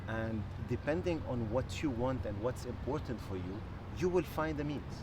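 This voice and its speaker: A middle-aged man from Lebanon talks earnestly and reflectively, stopping and restarting as he picks his words. His voice sits in an ordinary middle pitch. A steady noise runs behind him, as if inside a moving car. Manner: earnest, measured, with frequent restarts. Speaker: guest, former executive. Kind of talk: reflective interview conversation.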